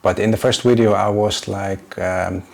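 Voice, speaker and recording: A man's voice: lowish voice, steady tone, moderate pace, creaky, darkish tone. A man in his forties with an Icelandic accent, speaking English as a second language in a casual vlog, hesitant and often searching for words with many fillers. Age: 40s. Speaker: YouTuber and graphic designer. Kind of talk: casual unscripted vlog talk.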